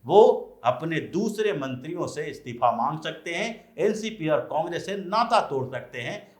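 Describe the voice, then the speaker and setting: Male news commentator, higher-pitched and very animated, explaining politics with emphasis; roomy, echoing sound. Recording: echoey room sound.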